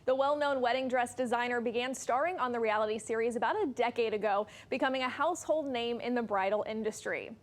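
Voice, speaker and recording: A woman's voice: a little high, fast, hardly any pauses. A woman with an American accent reading scripted narration as a television news feature reporter.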